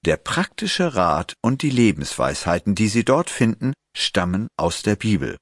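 Low, lively male magazine narrator. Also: no echo, studio quality. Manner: measured, even, clear read. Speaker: narrator and interviewer. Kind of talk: scripted magazine reading.

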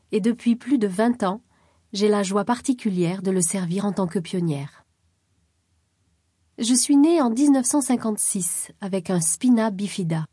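A woman calmly reading a first-person life story aloud as scripted narration. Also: medium pitch, breathy edge, dry recording, studio quality. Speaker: reader of a first-person article.

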